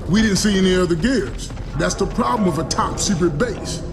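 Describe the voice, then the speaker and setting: Casting: high-voiced young video game soldier, tough and dry, very varied delivery, music behind. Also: male voice, lively intonation, moderate pace. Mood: uneasy. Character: tough young male soldier, dry, storytelling war veteran. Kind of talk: video game cutscene acting.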